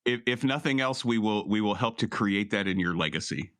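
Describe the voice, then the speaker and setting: A man's voice: fairly low pitch, fairly quick, fairly bright tone, clear, resonant tone, dry recording. A man talking casually as a tabletop game player and show announcer. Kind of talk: casual, improvised talk.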